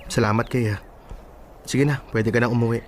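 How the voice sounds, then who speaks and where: Actor as earnest young Filipino grandson, mid-pitched. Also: male voice, fairly even intonation, brisk, a little hiss or hum, lightly acted. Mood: grateful. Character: caring, exasperated young Filipino office worker, devoted grandson. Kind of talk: radio drama acting.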